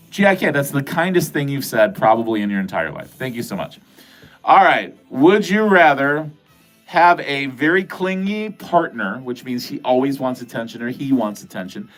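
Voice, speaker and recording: A middle-aged man hosting a casual livestream from home, rambling through viewer comments with filler-heavy, crude, jokey talk. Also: mid-range voice. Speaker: livestream host.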